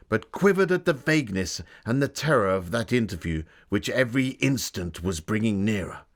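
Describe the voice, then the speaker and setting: A man voicing an earnest Victorian gentleman, reading his diary as a solemn, dramatic literary storyteller. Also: on the low side. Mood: tense, hushed.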